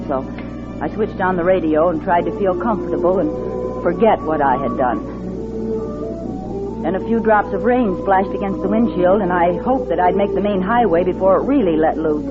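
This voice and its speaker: Actress as jilted secretary, tinny vintage radio. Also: female voice, fairly low pitch, close to an everyday voice. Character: jilted, embittered young office secretary turned thief. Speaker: actress and narrator in a radio play. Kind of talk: 1940s radio drama acting, first-person narration. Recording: tinny vintage radio recording, music behind.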